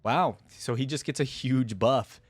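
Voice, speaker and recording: A man hosting a reaction video, giving informal, excitable commentary and thinking aloud as he goes. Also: mid-range voice, measured pace, slightly rough, dry recording, soft background music. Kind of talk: casual reaction commentary with some reading aloud.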